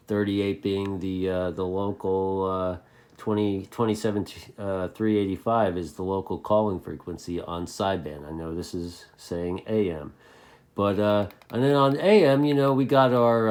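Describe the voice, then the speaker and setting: Low-pitched rambling American man. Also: normal speed, darkish tone. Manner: rambling, repeats phrases for emphasis. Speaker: CB radio hobbyist video host. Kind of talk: casual unscripted video chat to fellow hobbyists.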